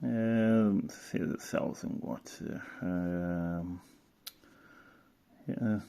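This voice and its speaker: Male hobbyist presenter, lowish pitch with heavy vocal fry, off-the-cuff and halting delivery. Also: steady tone, warm tone. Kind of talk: casual unscripted commentary while browsing a catalogue.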